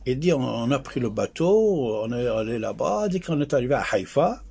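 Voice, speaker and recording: Male political commentator, gravelly and raspy, emphatic exhorting delivery, hammers phrases by repetition. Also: mid-range voice, big pitch swings, moderate pace, mellow. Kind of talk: impassioned political monologue with anecdotes.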